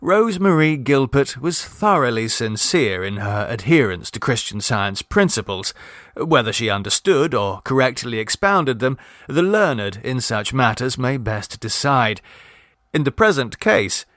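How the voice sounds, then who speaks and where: A man's voice: mid-range voice, animated, a little slow, a bit bright, no echo, studio quality. A man narrating a comic literary short story as an audiobook reader.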